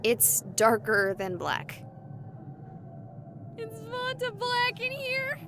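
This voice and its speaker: A woman's voice: very high-pitched, wildly swooping pitch, extremely slow, background noise, exaggerated voice. An actress playing a chatty, jokey young woman who hunts ghosts on a livestream, theatrical and wisecracking, in a visual novel game. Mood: wry, spooked. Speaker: live-streaming ghost hunter. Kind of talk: dramatic visual novel voice acting.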